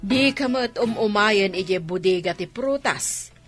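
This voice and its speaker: A woman's voice: mid-range voice, a little slow, faint music behind, lightly acted. A woman with an Ilocano Filipino accent playing a nosy, gossipy village woman in an audio drama. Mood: needling, nosy. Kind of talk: amateur audio drama acting.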